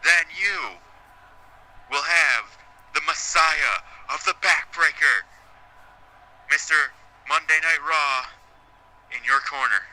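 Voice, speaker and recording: A man's voice: fairly high pitch, pushed and bright, heavily acted, rough recording. A man playing a defiant, dramatic wrestler. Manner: dramatic, drawn-out emphasis. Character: proud male wrestler answering a challenge. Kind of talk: in-game wrestling promo.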